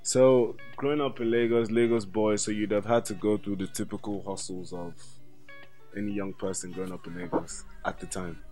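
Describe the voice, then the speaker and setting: A man's voice: fairly low pitch, steady tone, moderate pace, warm tone, faint music behind, rough recording. A man, speaking conversationally as an interview host.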